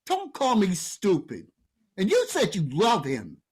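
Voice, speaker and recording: A woman's voice: medium pitch, wildly swooping pitch, a little slow, a little mellow, slightly rough, heavily acted. A woman playing a dim, hot-headed male thug in a staged comedy reading, blustering and defensive.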